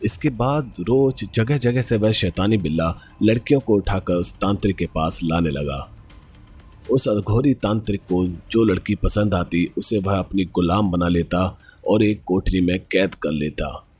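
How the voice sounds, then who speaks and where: A man's voice: low voice, unhurried, darkish tone, breathy edge. A man narrating a scripted horror story as a storyteller.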